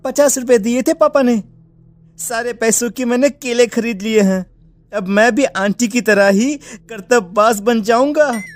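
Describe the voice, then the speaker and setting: A man voicing an eager young village boy in a cartoon, boastful and excited. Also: extremely high pitch, measured pace, no echo.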